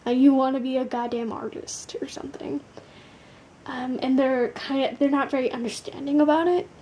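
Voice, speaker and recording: A woman doing a casual, blunt tarot card reading, tired, with a gravelly, sleepy voice, swearing casually and using lots of fillers. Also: sits high, fairly even intonation, normal speed, mellow, lo-fi sound, slight background noise.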